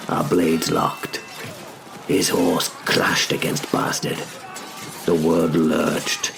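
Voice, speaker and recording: Deep, slow, gravelly male storyteller. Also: level tone. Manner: deliberate, pausing between short phrases. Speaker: narrator. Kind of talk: dramatic first-person scripted narration. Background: music.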